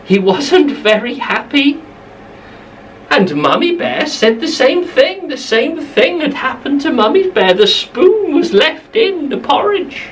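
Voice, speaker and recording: A woman's voice: high voice, sing-song, slow pace, far from the mic, slight background noise, rough recording. A woman telling a bedtime story to young children, expressively, repeating phrases for emphasis, slightly away from the mic.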